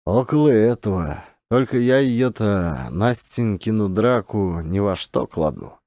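A man's voice: on the low side, expressive, unhurried, dark tone, dry recording, clean studio sound. A man voicing a small, meek, long-suffering peasant forester with mild, resigned, folksy rural speech in an audiobook. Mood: patient, wistful.

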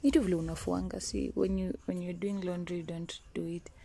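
A woman's voice: on the low side, little pitch movement, brisk, creaky, dark tone, slight background noise. A woman with a Kenyan accent chatting casually and playfully as she narrates a chore, switching languages mid-sentence. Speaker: vlogger. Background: clicking and water sounds.

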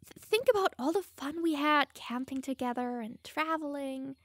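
A woman's voice: sits high, normal speed, no echo, close to an everyday voice. A young woman playing a teasing, smug, secretly lovestruck elf mage in an audio roleplay, playful and easily flustered. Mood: playful, defensive. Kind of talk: audio roleplay addressed to the listener.

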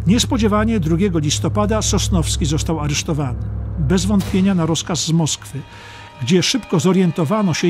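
Higher-pitched male history audiobook narrator. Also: a little mellow.

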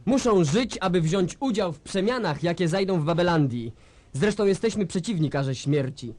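An actor playing a composed, idealistic guerrilla leader, speaking with declamatory authority in a radio drama. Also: male voice, high-pitched, expressive, moderate pace, a little mellow, no room sound. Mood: solemn conviction. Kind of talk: stage-like radio drama acting.

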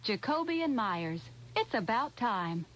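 A woman's voice: on the high side, sing-song, unhurried, a bit bright, a little hiss or hum. An actress playing a curious, pleased woman client in a scripted 1980s radio commercial.

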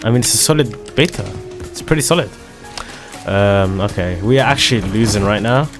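A man giving excitable, slangy commentary over his own shooter gameplay, his voice a little hoarse from a dry throat. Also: fairly low pitch, moderate pace. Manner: excitable, slangy trash-talking banter. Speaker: gaming video creator. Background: loud background music and gunfire.

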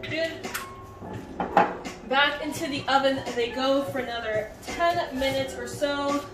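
Young female home-cooking host, high voice, informal instruction, recorded at a distance from the mic with music behind. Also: takes it slowly. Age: young adult. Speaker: home cook hosting a cooking video. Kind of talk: casual cooking demonstration. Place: home kitchen, indoors. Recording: roomy echo, distant mic, background music.

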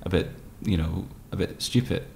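Male interview guest, thirties, very low voice with vocal fry, tentative and reflective. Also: steady tone, mellow, slight background noise. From Scottish Highlands. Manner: hesitant, thoughtful, halting. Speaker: guest, poet and musician. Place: room with tall windows, indoors. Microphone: microphone on desk stand.

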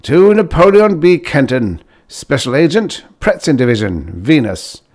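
A man's voice: fairly high pitch, big pitch swings, a little slow, a little mellow, voice pushed up. A man voicing a formal, officious government chief's written memo in an audiobook story. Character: stiff, officious bureaucrat chief dictating a memo.

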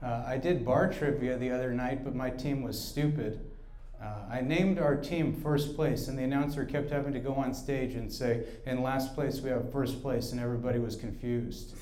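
Male stand-up comic, deadpan and self-deprecating, mid-range voice, roomy bar sound with music behind. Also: medium pitch, normal speed, a little hiss or hum. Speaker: stand-up comedian. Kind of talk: stand-up comedy set. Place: small dark bar room.

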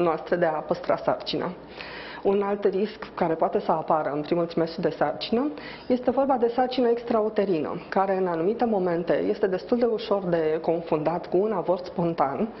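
A middle-aged woman doctor speaks calmly and methodically on a lapel mic, pausing often with small fillers. Her voice sits at an average pitch. The broadcast sound is a little dull, with faint noise underneath. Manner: calm, measured, explanatory with frequent hesitations. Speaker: obstetrician-gynecologist guest. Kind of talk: explaining in a TV interview. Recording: dull, slightly noisy broadcast audio.

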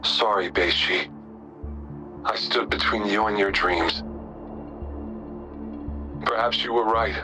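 Slow, low, remorseful brother. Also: male voice, over music. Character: remorseful, solemn older brother, quietly resolute. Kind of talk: video game voice acting.

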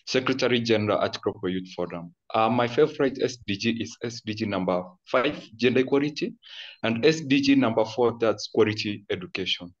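A man's voice: medium pitch, a little fast. Young man, dull lo-fi call. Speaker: youth volunteer, panel guest. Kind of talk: introduction on a video call.

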